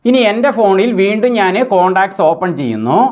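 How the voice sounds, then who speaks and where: A man's voice: high voice, very animated, fairly quick, darkish tone. A man presenting a tech tutorial, explaining each step in a methodical, instructional way.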